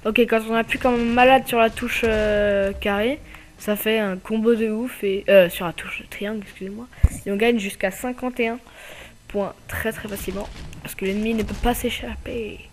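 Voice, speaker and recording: A man's voice: high-pitched, fairly even intonation, slight background noise. A man with a slight cold giving casual, hesitant commentary over his own gameplay as channel host. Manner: hesitant, many filler pauses.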